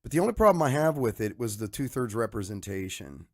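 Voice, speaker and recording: A man's voice: on the low side, sing-song, fast, no room sound, studio quality. A man hosting a podcast, talking informally and thinking aloud in a casual discussion. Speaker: podcast host.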